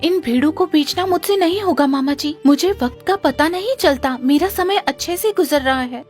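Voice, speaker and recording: A woman's voice: high-pitched, lively intonation, normal speed, a little mellow, faint music behind. A woman voicing a young village shepherd girl in a cartoon story, sweet but determined. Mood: firm. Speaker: voice actor.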